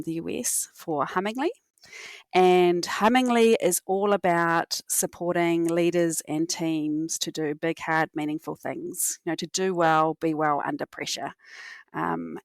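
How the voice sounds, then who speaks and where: A woman's voice: on the low side, vocal fry, no echo. A woman with a New Zealand accent explaining her work conversationally as an expert podcast guest. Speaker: podcast guest, cognitive scientist and company leader.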